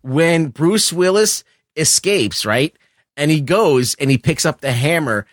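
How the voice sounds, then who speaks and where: Higher-voiced man talking movies, very dry sound. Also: lively intonation, measured pace, dry recording. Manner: emphatic, heavy swearing. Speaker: podcast co-host reviewing films. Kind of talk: casual film discussion. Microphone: close podcast microphone.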